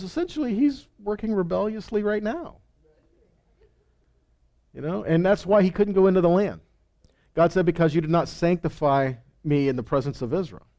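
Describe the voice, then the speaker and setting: Guy with a kinda high voice doing a casual bible teaching, reads verses then chats about them, keeps checking in with questions. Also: a little mellow. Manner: conversational, punctuated with rhetorical questions and check-in words. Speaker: Bible teacher giving a sermon. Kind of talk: informal teaching sermon with scripture readings and commentary.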